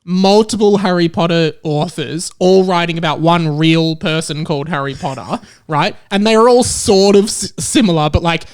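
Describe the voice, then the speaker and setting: A man's voice: a little high, moderate pace, hardly any pauses, clear, resonant tone. A young man with an Australian accent hosting a podcast in a casual, playful, joking chat. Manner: jokey, playful. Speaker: podcast host.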